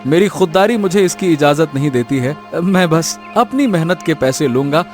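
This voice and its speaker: A man voicing a kind, humble, hardworking young village son-in-law in a moral-story cartoon, with drawn-out, emphatic delivery. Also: on the high side, expressive, soft background music, natural voice. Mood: earnest, modest.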